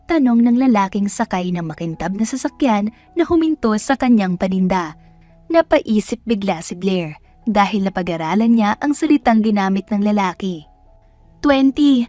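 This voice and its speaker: A woman's voice: medium pitch, expressive, moderate pace, a little mellow, no echo, faint music behind. A woman with a Filipino accent reading scripted story narration as a storyteller.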